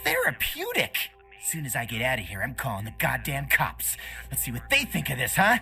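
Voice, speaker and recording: A woman's voice: very deep voice, huge pitch swings, fairly quick, gravelly, sharp tone, exaggerated voice. A woman playing a brash, foul-mouthed, agitated captive in a video game, with music behind her. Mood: furious.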